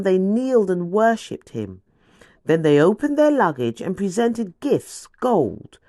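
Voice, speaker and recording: A woman reading scripture as a dramatic audiobook narrator, with deliberate, emphatic storytelling phrasing. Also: on the low side, a little mellow, dry recording, clean studio sound. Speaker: scripture reader.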